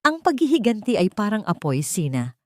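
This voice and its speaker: Woman narrator as a stern, measured older general. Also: mid-range voice, sing-song, darkish tone, no echo, clean studio sound, voice pushed up, natural voice. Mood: grave counsel. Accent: Filipino. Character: stern, wise older male military general and godfather.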